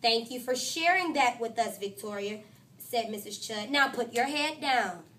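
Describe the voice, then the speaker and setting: A woman teacher reading a picture book aloud to her class, with lively, expressive storytelling. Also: a little slow, distant mic. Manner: expressive storytelling, voices quotes higher and louder.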